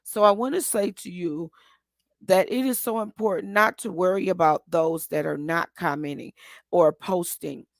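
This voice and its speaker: A woman hosting her own show, hoarse today, giving a rambling, encouraging talk full of ums and restarts. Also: fairly low pitch, normal speed, no echo, studio quality. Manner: encouraging, asks listeners to agree. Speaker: host. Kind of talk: casual motivational talk.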